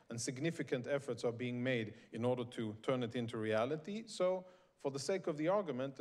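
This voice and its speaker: A man who grew up in Sweden, giving a prepared speech to a live audience at a conference, in a measured, emphatic and deliberate way. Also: medium pitch, darkish tone. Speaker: conference speaker. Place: conference venue in New York.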